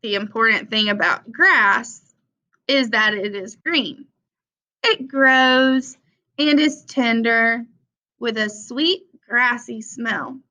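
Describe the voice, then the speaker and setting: Bright, slow-paced woman reading to children. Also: fairly high pitch. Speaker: read-aloud presenter for children. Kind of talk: children's picture book read-aloud. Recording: dull, lo-fi sound.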